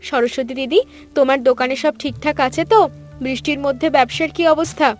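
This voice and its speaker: A woman's voice: high-pitched, steady tone, quick pace, faint music behind, close to an everyday voice. A woman voicing a concerned, polite young daughter-in-law in a cartoon story, caring and inquisitive.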